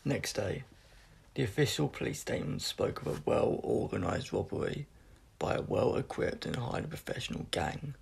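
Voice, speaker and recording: Gravelly, husky man reading a story aloud. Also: fairly low pitch, expressive, normal speed, a little mellow. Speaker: audiobook reader. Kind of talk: scripted narration of a science fiction story.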